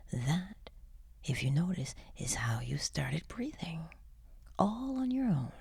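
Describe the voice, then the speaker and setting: A woman leading a guided sleep meditation in a soft, breathy, often near-whispered voice, soothing, unhurried and gently encouraging. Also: fairly low pitch, no room sound.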